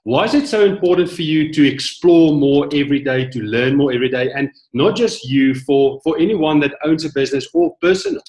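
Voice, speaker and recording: Halting interview host in an echoey room. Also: male voice, a little high, animated. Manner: warm praise, halting with fillers. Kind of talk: interview questions. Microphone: video call. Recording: echoey room over video call.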